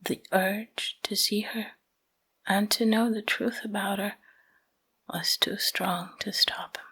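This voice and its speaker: Slow, breathy whispering woman. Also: medium pitch, steady tone, low-quality recording. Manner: slow, hushed delivery in short phrases with pauses. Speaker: storyteller. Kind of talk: whispered ASMR bedtime storytelling.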